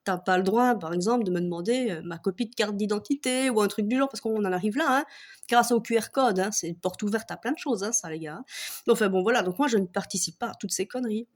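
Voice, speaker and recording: A woman's voice: medium pitch, fast. Belgian woman ranting with a laugh. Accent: Belgian French. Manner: swears a lot, laughs often, mocking. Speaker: vlogger. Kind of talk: casual comic rant to camera.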